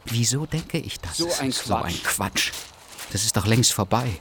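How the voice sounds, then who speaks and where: An actor playing a sceptical, grumbling stranded train traveller in a radio play, dry and muttering. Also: male voice, medium pitch, animated, moderate pace, natural voice. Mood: puzzled, grumbling. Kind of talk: German radio play dialogue and monologue. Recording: clearly noisy background.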